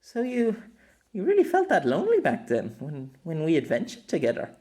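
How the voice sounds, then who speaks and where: Man putting on a high-pitched, shy, teasing young woman. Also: huge pitch swings, normal speed, dark tone. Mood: hesitant concern.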